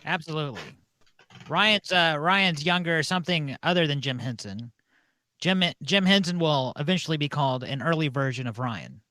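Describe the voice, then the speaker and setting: A man's voice: on the high side, lively intonation, normal speed, bright, forceful tone, no room sound. A man casually hosting a call-in show, chatting with guests and callers.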